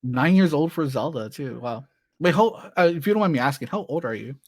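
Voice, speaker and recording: A man's voice: a little high, expressive, brisk, no echo, studio quality. A man in his late twenties, a streamer, chatting casually as an interview guest, rambling a bit and laughing easily. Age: 20s.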